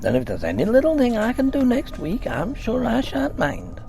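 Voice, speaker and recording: A man's voice: very high voice, wildly swooping pitch, moderate pace, vocal fry, warm tone, a little hiss or hum, pitched above the performer's own voice, full character voice. A man voicing a grudging older village housekeeper woman, stiffly polite.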